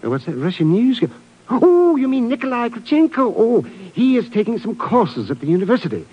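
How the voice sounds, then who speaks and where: An actor playing an absent-minded, flustered professor in a 1950s radio drama, dithering and repeating himself. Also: male voice, very high-pitched, wildly swooping pitch, fairly quick, husky, full character voice. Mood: muddled. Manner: dithering, repetitive, easily distracted. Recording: muffled old radio recording.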